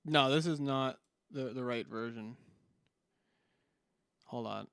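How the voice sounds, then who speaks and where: A male streamer giving profane, excitable commentary while playing clips on a live stream. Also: mid-range voice, slow, gravelly, over music.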